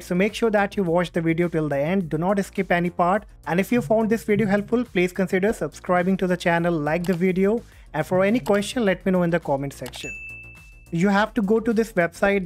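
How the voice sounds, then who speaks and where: A man hosting a step-by-step software tutorial, speaking into a USB condenser microphone. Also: high voice. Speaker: tutorial host. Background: music.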